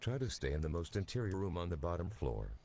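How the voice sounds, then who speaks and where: Super deep guy doing a serious emergency alert voice, stiff and formal, bit noisy recording. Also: big pitch swings, fast, a little mellow. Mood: grave, formal. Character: grave male national emergency broadcast announcer. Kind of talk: formal emergency broadcast announcement.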